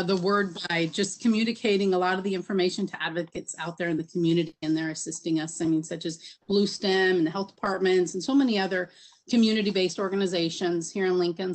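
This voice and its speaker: A woman speaking as a state health official on a video call panel, hesitant with many fillers and restarts. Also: lowish voice.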